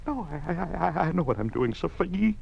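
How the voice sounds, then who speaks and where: A man's voice: a little high, sing-song, fairly quick, vocal fry, husky, heavily acted. A man playing Miller, a terrified middle-aged professor who stammers in frantic panic in a 1950s radio drama. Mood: panicked. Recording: muffled old radio recording with faint hiss.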